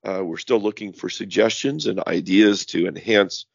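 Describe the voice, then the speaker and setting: Hoarse low-voiced man hosting a podcast. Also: moderate pace, no echo, muffled recording. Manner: rambling with many fillers and restarts. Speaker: podcast host. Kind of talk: casual podcast monologue.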